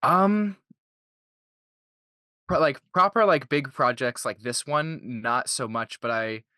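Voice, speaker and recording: A man's voice: medium pitch, takes it slowly, pushed and bright, no room sound. A man of twenty-two from Canada chatting casually as a voice actor in an interview.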